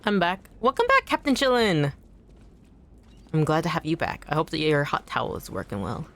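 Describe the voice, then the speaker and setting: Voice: medium pitch, huge pitch swings, moderate pace, fairly bright tone. A streamer whose first language is Anishinaabemowin, chatting casually with viewers while playing, swearing and yelping at enemies mid-sentence. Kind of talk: casual gaming stream chat.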